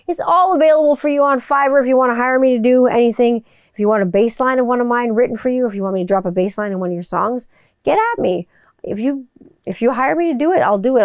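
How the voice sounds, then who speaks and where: Woman, chatty and rambling, casual swearing, smooth resonant tone, dry close recording. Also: fairly high pitch, darkish tone, dry recording. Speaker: voiceover artist and musician. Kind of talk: casual monologue to viewers. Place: home room with people upstairs.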